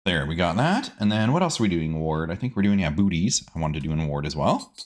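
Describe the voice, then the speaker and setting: Guy doing a casual lets play, lowish voice, super animated intonation, goofy little asides. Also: quick pace. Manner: playful, talks to himself, sprinkles silly words and sing-song asides. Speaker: gaming host. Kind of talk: casual game commentary, let's play.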